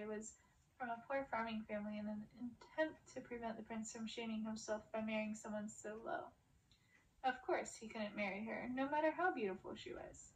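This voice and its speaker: A woman reading a fairy-tale novel aloud in audiobook style. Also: on the high side. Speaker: reader of a story. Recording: distant mic with clear background noise.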